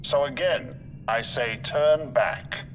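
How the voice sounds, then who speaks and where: A man playing an arrogant, calculating military general in a video game, speaking in a measured, formal, condescending way. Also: medium pitch, muffled recording, slight background noise, close to an everyday voice. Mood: smug, composed. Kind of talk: dramatic video game acting.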